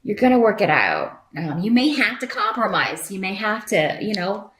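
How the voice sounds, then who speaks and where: A woman's voice: medium pitch, big pitch swings, normal speed, fairly bright tone. A woman giving an unscripted tarot reading to viewers in a conversational, rambling, reassuring way. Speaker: tarot reader and channel host. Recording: roomy echo.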